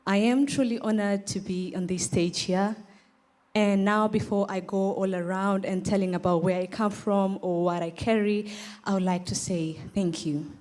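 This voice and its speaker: A young woman with a soft-edged, mellow voice and a Tanzanian accent delivers a prepared stage speech. She is earnest and emphatic, setting out her phrases deliberately and leaning on repetition. Her tone stays warm and rounded as she addresses a formal gathering. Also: medium pitch, steady tone. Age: young adult. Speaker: pageant contestant.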